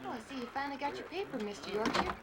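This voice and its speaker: A friendly young gold-camp girl in a folksy TV western. Her voice is high and chatty. The recording is a noisy old videotape. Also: animated, rapid-fire. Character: sweet, friendly young miner's daughter mending clothes in gold camp. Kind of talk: folksy television western acting. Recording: noisy VHS tape transfer.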